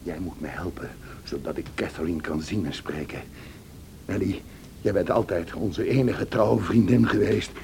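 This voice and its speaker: An actor playing a brooding, vengeful, passionate lover in a Dutch radio drama, intense and theatrical, by turns scornful and pleading. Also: male voice, deep voice, moderate pace, vocal fry, dark tone. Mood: commanding, pleading. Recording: noisy old radio play recording.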